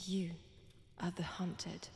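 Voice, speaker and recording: A woman with a French accent playing a seductive, predatory vampire in an intimate audio roleplay, breathy and teasing, often dropping toward a whisper. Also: fairly low pitch, flat delivery, a little mellow, heavily acted. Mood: hushed, menacing. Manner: slow, teasing, menacing, deliberate pauses. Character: seductive, predatory female vampire toying with her captive.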